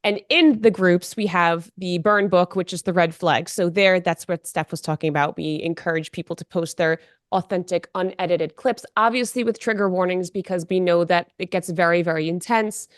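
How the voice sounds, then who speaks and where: A woman on a non-profit board explaining her group's work in a podcast interview, measured and full of "you know". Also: fairly low pitch, steady tone, no echo, studio quality.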